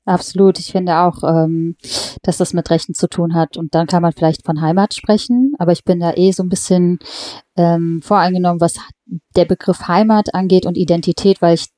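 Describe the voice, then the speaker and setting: Woman host, lowish mellow voice, chatty and agreeing, dry close sound. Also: fairly even intonation, a little fast, dry recording. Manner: engaged, agreeing, asks questions. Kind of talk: casual conversation.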